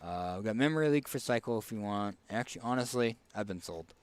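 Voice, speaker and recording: A man with a raspy, hoarse voice casually commenting on a card game as he picks cards, thinking aloud with fillers and restarts. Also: fairly low pitch, expressive, fast talker, slight background noise. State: hoarse, tickly throat. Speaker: streamer.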